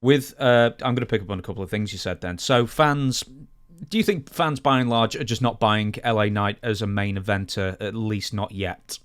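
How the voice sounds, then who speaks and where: A man's voice: lowish voice, moderate pace, clean tone, no echo, clean studio sound. A man co-hosting a podcast in a casual, conversational style, putting questions to his guest. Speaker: podcast co-host asking questions. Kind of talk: casual chat.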